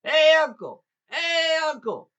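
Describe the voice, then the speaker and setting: A man's voice: high-pitched, very animated, moderate pace, bright, forceful tone, highs cut off, pitched above the performer's own voice, full character voice. A man voicing a cocky young trickster boy in a folktale, boasting in a folksy drawl with an Appalachian accent. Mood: hollering. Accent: Appalachian American. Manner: drawling, folksy bluffing, hollering calls.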